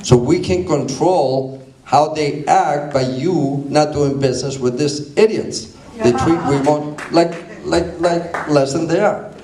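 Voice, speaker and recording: A man's voice: a little high, takes it slowly, mellow, a little hiss or hum. Blunt man, far off in an echoey hall. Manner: blunt, emphatic. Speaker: chamber executive. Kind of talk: panel talk. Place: conference hall.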